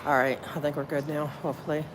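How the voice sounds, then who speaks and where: A woman's voice: low voice, level tone, quick pace. Rambling woman livestreaming on a noisy phone. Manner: rambling, thinks aloud, thanks viewers. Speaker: livestreamer filming city meetings. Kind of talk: casual livestream chat. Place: public building hallways and elevators.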